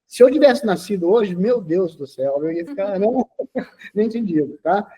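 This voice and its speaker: A man's voice: sits high, lively intonation, moderate pace, warm tone, breathy edge, poor audio. A man of sixty, an interview guest, talking in a lecturing way and tagging his sentences with a questioning "okay?". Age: 60s. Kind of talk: casual interview talk.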